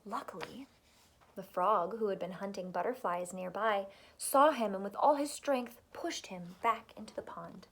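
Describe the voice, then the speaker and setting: A woman teacher reading a storybook aloud to children, expressive, with dramatic pauses and emphasis. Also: mid-range voice, a little hiss or hum.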